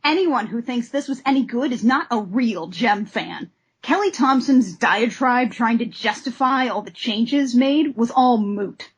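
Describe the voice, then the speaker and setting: Woman podcast host, kinda high husky voice, expressive, dramatic when reading stuff out. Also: measured pace. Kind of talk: comic discussion podcast with dramatic reading of letters.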